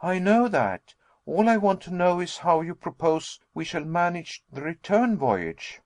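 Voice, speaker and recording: A man's voice: high voice, big pitch swings, measured pace, a little mellow, pitched above the performer's own voice. A man voicing an anxious, tired young man who speaks hesitantly and plaintively. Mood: uneasy. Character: weary anxious young man, the professor's nephew.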